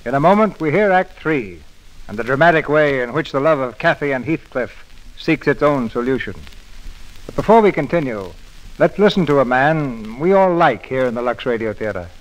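A man's voice: mid-range voice, expressive, normal speed, poor audio. A man hosting a radio theater with formal 1940s announcing delivery. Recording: old radio recording, faint audience sound.

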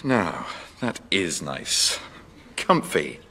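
An actor playing a pompous, petulant, insecure English airline captain in a radio sitcom. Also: male voice, low voice, big pitch swings, drawn-out words, very noisy, exaggerated voice. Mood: self-satisfied. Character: fussy, insecure male airline captain, self-important.

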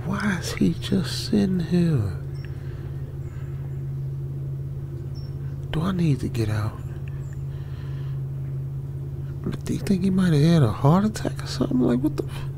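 A man's voice: sits high, vocal fry, background noise, exaggerated voice. A man playing a frightened, stranded young American trucker in a radio drama, nervous and repetitive, often muttering or whispering. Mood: panicked whispering. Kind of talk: old-school radio drama with ASMR whispering. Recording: music behind the voice.

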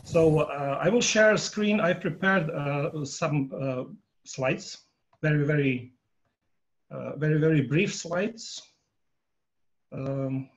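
A man in his fifties, a native Croatian speaker, giving a workshop talk on a video call from home, pausing often with fillers. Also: a little high. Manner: halting, many filler pauses, polling the audience. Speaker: workshop presenter. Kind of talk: online conference talk. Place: home room. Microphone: earphone headset on a video call.

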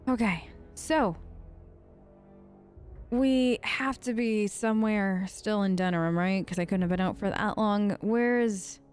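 A woman's voice: mid-range voice, measured pace, a little mellow, clear, resonant tone, faint music behind. A woman improvising in character for a game let's play, sarcastic and defiant, with casual modern phrasing. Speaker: role-play let's-player.

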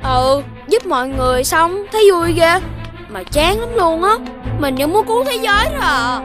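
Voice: very high voice, expressive, moderate pace, music in the background. A performer voicing a young cartoon puppy boy, childlike and exclamatory, with a southern Vietnamese accent. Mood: bored, whiny. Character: young Dalmatian puppy boy, eager, dreams of heroism. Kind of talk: cartoon dubbing.

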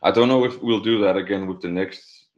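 A man's voice: low voice, a little fast. A young man with a Danish accent, chatting casually as a musician being interviewed. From Denmark. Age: young adult. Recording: dull, lo-fi video call.

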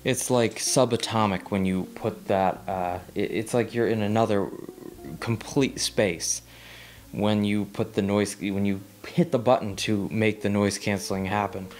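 A man's voice: on the low side, steady tone, measured pace, darkish tone. A young man seated in a small living room, reviewing audio gear in a casual talking-head video, rambling with frequent ums and repeated words while gesturing with his hands.

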